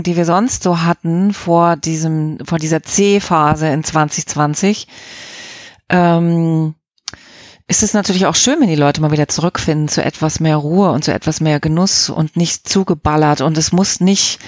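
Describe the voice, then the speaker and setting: A woman's voice: fairly low pitch, no echo. Female interview guest, conversational, keen and emphatic, slightly muffled dry recording. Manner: enthusiastic, emphatic. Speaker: interview guest, audio drama editor.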